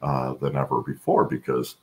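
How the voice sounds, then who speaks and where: Very deep, gravelly middle-aged man. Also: monotone, normal speed. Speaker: guest, supply chain expert. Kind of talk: conversational interview answers. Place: home room, on a video call.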